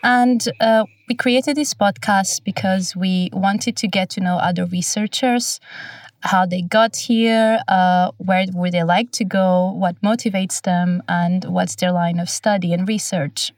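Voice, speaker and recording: A woman's voice: mid-range voice, fairly even intonation, measured pace, dry recording, distant mic. A young woman from Portugal hosting a casual podcast chat in a studio, friendly and hesitant with many fillers. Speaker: podcast host.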